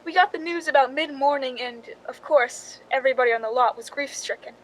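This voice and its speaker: High-voiced woman narrating radio suspense as an actress. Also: fairly quick, slight background noise, lightly acted, poor audio. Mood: tense, recounting. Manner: dramatic first-person storytelling. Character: young film stand-in woman narrating her crime story.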